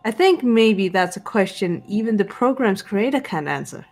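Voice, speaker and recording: A woman's voice: medium pitch, lively intonation, a little mellow, faint music behind. Woman playing a composed, serious teenage girl detective. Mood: serious, resolute. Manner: measured, deliberate, serious. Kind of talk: visual novel fan dub voice acting.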